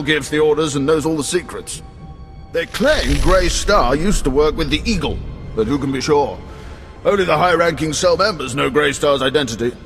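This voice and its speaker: An actor playing a calculating male spymaster who gives a measured, dry briefing in a game cutscene. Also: a little high, a bit bright. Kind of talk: video game cutscene acting.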